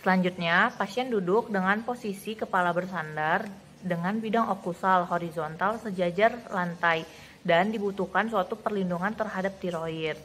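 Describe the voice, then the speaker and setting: A woman's voice: on the low side, normal speed, a bit bright, slight background noise. A young adult woman, reading scripted instructional narration as a student presenter.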